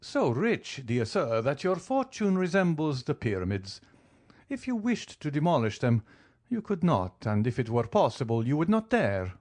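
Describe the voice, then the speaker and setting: A man's voice: medium pitch, sing-song, measured pace, darkish tone, voice pushed up. A man voicing a suave, wealthy count who speaks in a polished, teasing, formal way. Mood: amused, flattering. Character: suave wealthy male count, coolly ironic.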